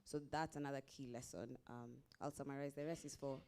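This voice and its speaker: A woman with a Kenyan accent speaks as an investor on a workshop panel. Her voice is smooth and resonant. She lays out her lessons point by point and restarts a phrase now and then. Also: rumbling low, fairly even intonation, fairly quick, dark tone. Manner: explains point by point, restarts, occasional laughs. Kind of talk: panel discussion answer.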